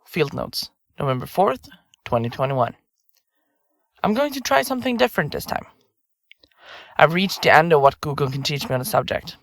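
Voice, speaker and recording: A chirpy, remorseless woman who kills for her podcast. Her delivery is very animated and theatrical, sliding up and down with blithe self-regard. It is audio drama acting in a dry recording space. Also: sits low, a little fast, no echo, exaggerated voice. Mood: absorbed, detached. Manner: blithe, chatty, flippant, theatrical. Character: chirpy, self-absorbed female podcaster and remorseless serial killer. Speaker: suspect under interrogation.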